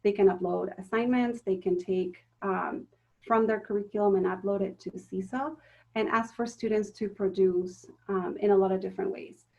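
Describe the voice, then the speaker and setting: Woman, teacher trainer, explanatory, mid pitch, slightly muffled recording. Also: fairly even intonation, normal speed, vocal fry, mellow. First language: Spanish. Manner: explanatory, frequent ums and self-corrections.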